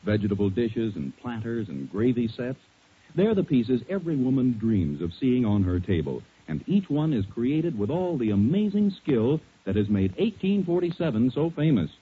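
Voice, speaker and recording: A male American radio announcer giving an emphatic, polished 1940s scripted sales pitch. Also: sing-song, normal speed, slight background noise. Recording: thin, phone-like 1940s radio recording.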